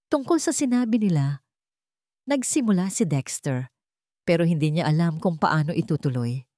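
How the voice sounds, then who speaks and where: A woman's voice: sits low, expressive, normal speed, dark tone, dry recording, studio quality. A woman with a Filipino accent reading a scripted love story as a dramatic storyteller.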